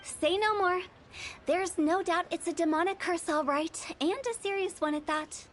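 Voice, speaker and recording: An actress playing a breezy, self-assured young female exorcist in a video game, with brisk, theatrical confidence. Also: extremely high pitch, slight background noise. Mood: playful, emphatic. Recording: music behind the voice. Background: game music.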